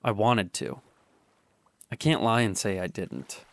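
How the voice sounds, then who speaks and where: A remorseful young guy, low voice, halting confession, radio drama. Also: sing-song, creaky, fairly bright tone, full character voice. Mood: guilty. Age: young adult. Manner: hesitant, stammering confession. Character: young male student, guilty and remorseful.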